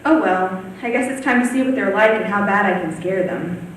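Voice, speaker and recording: A woman's voice: mid-range voice, fairly quick. A teenage girl playing a lonely, gleefully morbid ghost in a theatrical monologue. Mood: bored, scheming. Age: teens. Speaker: high school student performer. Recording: very echoey room, distant mic, faint noise.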